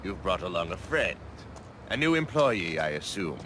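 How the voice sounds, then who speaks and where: An actor playing a haughty, menacing rival villain in a video game, speaking in a formal, condescending way. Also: male voice, sits low, very animated, moderate pace, pushed and bright, a little hiss or hum, full character voice. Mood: smug disdain.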